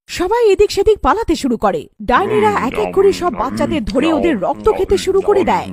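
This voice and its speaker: A woman narrating a scripted cartoon story as its storyteller. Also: high voice.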